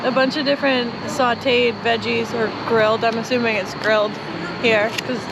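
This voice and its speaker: A woman's voice: fairly high pitch, little pitch movement, moderate pace, heavy background noise. A woman chatting casually on a vlog in an easygoing, giggly way. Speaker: vlogger.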